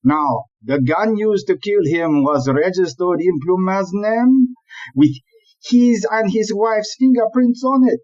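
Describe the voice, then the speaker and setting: An actor playing an exasperated, blustering chief police inspector in a comic audio play, with an emphatic, deliberate, ranting delivery. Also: male voice, high-pitched, darkish tone, highs cut off. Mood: indignant explaining.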